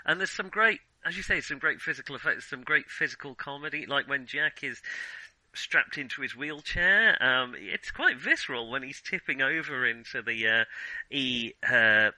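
A man's voice: medium pitch, lively intonation, normal speed, bright, forceful tone, no echo, rough recording. A man co-hosting a casual film chat, often hesitating as he searches for words. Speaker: podcast co-host.

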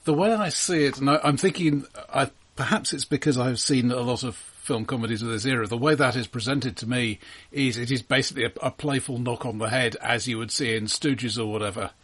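A man co-hosting a casual film discussion, offering dry, opinionated remarks with halting restarts. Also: medium pitch, a bit bright, breathy edge. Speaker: podcast co-host.

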